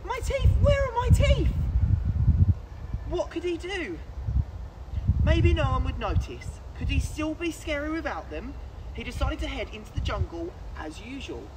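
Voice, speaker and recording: A man in his twenties reading a children's picture book aloud outdoors in an expressive, projected voice with dramatic pauses. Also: very high-pitched. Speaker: children's story presenter. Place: outdoors in woods, standing. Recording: outdoor recording with clear background noise.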